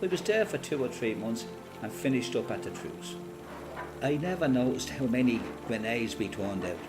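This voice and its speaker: An elderly Irish former freedom fighter, played by a man, tells his memories in a radio play. He is plain-spoken and quietly proud, talking like a storyteller at ease. Music plays clearly behind him. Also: mid-range voice, very animated, moderate pace, close to an everyday voice. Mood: matter-of-fact recollection. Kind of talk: radio play monologue, reminiscing as recorded testimony.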